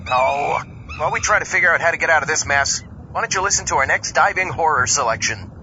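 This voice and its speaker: Male podcast host, fairly high voice, lively hammy reactions, dull recording with music behind. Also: fast, a little hiss or hum. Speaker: podcast host in comic skits. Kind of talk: comedy horror podcast skit.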